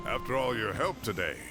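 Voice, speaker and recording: A man voicing a genial, talkative older scholar in a video game, hearty and theatrical with a gravelly, fatherly voice. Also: on the low side, exaggerated voice. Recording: loud game music behind.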